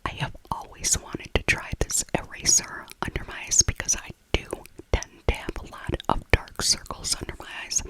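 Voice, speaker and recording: A middle-aged woman whispering a breathy, rambling ASMR shopping voiceover, often repeating her phrases. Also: low-quality recording.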